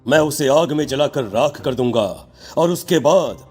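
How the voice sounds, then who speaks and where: A man's voice: a little high, normal speed, darkish tone, faint music behind, lightly acted. A man playing a stern, commanding tantric holy man in a dramatic cartoon horror story, solemn and accusing.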